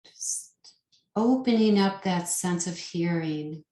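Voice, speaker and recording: A woman leading a guided meditation as a teacher, soothing and unhurried, pausing between short phrases. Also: lowish voice, dark tone. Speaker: yoga nidra meditation teacher. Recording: roomy, echoing sound.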